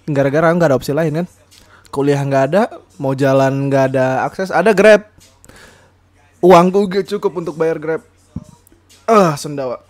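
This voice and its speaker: A young man talks freely on his podcast, rambling and circling back with fillers and restarts. His voice sits a little higher than most men's. He laughs easily between thoughts. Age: young adult. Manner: rambling, many fillers and self-corrections, laughs often. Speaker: podcast host. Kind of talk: casual solo podcast chat.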